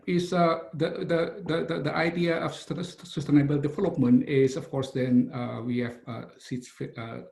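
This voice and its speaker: A middle-aged man from Indonesia, giving a webinar lecture over a video call, halting and full of "uh" fillers and restarts. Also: mid-range voice, a little mellow. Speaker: engineering professor.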